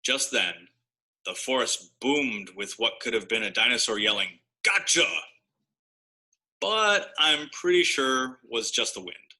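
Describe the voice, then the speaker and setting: A man reads a children's story aloud with plenty of expression. His mid-pitched voice is very bright and forceful. He delivers it with a wry, mock-innocent air. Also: expressive, a little slow. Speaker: storybook narrator.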